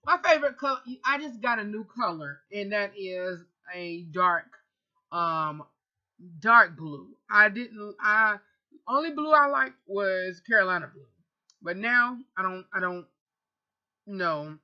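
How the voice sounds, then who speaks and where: Thirties woman vlogger, chatty and self-correcting, sharp bright voice, dull webcam sound. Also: medium pitch, animated, slow pace. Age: 30s. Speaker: vlogger and radio host. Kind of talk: casual Q&A vlog. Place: bedroom, indoors. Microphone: webcam at close range.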